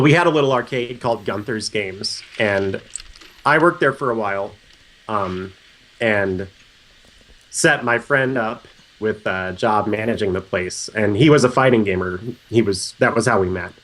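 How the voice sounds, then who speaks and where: A man in his thirties from Missouri who has a cold that deepens his voice, telling stories on a podcast in a loud, chatty, joking way. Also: measured pace, low-quality recording. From Columbia, Missouri. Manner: loud, chatty, jokey storyteller. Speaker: podcast co-host. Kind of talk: casual podcast chat.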